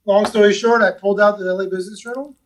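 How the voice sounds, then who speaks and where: Forties man, high voice, easygoing podcast guest, slightly off-mic. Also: a bit bright, recorded from a distance, slight background noise. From West Los Angeles. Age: 40s. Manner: easygoing, chatty storyteller. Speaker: guest, real estate investment advisor. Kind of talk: casual podcast interview.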